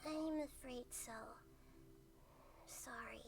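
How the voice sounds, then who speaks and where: A woman voicing a gentle, wise childlike goddess in a video game, speaking in a soft, measured, thoughtful way. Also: high-pitched, gravelly, very noisy, exaggerated voice. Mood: regretful. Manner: soft, measured, hesitant before hard truths. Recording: music behind the voice.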